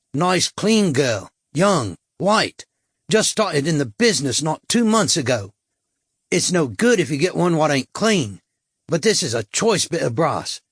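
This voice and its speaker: Male reader doing a brash tout, higher voice, oily salesman patter, dry sound. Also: no echo, full character voice. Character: sleazy male London street tout, pushy.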